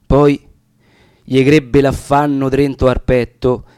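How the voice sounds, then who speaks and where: A man's voice: medium pitch, little pitch movement, slow, darkish tone, smooth, no room sound. Roman man, dry close sound, dramatic recitation. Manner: solemn, theatrical. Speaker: reader of dialect poetry. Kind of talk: dramatic recitation of narrative verse.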